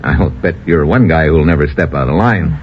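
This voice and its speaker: A man's voice: rumbling low, expressive, moderate pace, slight background noise. An actor playing a tough, wisecracking American investigator who narrates his own adventure in old radio drama style. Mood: dry, bantering. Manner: wry, hard-boiled, clipped present-tense storytelling. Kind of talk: 1950s radio adventure acting. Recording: muffled old radio drama, music behind.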